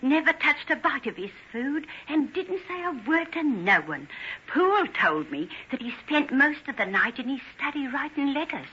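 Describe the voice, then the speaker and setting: Gossipy English servant woman, high bright voice, fearful and superstitious, breathless radio drama delivery. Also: sing-song, moderate pace, full character voice. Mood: anxious, gossipy. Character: anxious, gossipy working-class housekeeper, loyal and superstitious. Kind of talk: old-time radio drama acting. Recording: dull, noisy old radio recording.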